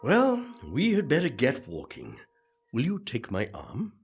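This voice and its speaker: A man's voice: mid-range voice, huge pitch swings, a little slow, breathy edge, faint music behind, full character voice. A man playing the ghost of a dour, guilt-ridden Puritan preacher in a comic radio play, pompous and exasperated in manner. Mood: troubled, hesitant. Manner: pompous, exasperated, self-justifying.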